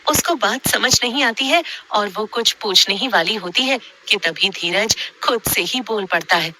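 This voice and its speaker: A woman's voice: medium pitch, measured pace, pushed and bright, a little hiss or hum, poor audio. A woman narrating a scripted horror story as a storyteller.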